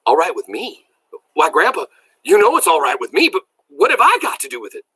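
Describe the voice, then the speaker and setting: An eager, earnest country boy from a storybook, voiced by a man. He blurts and questions with a bright tone and animated, rising pitch, recorded dry. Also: normal speed, husky, dry recording, exaggerated voice. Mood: amazed, eager. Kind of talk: storybook read-aloud.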